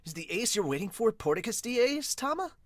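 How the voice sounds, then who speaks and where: A man voicing an emotional little girl in a manga dub, shrill and childlike. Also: sing-song, a little fast. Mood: anxious hoping. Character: upset little girl pleading about her big brother. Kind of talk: manga read-aloud dub.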